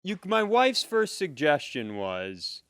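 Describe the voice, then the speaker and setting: Smooth-voiced man chatting casually. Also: a little high, huge pitch swings, slow, a bit bright, dry recording, clean studio sound. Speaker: podcast host and comedian. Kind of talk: casual comedic chat.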